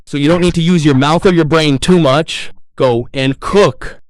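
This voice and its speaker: A critical young husband in a story drama, performed by a man. His voice is a little high for a man, with a reproachful, serious manner. It is recorded dry, with little room sound. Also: moderate pace, dry recording, lightly acted. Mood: stern. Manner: stern, reproachful. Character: demanding, critical young husband, later remorseful.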